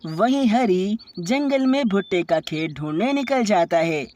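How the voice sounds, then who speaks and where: Mid-pitched woman narrating a children's story. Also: animated, measured pace, darkish tone.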